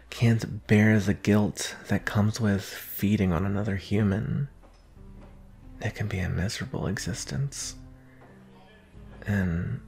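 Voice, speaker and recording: A young man playing an anxious, protective vampire boyfriend in an intimate roleplay audio drama, speaking in an earnest, halting way with sighs. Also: low voice, darkish tone. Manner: halting, sighing, earnest delivery.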